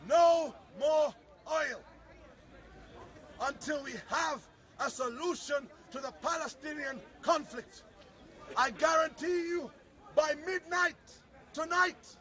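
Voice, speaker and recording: Very high-pitched man making an impassioned speech. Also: a bit bright. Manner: declamatory, emphatic, repetitive phrasing. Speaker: public soapbox speaker. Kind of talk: impassioned political street oratory. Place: outdoors at a public speakers' corner. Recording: outdoor recording with faint crowd. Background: faint crowd, urban outdoor sound.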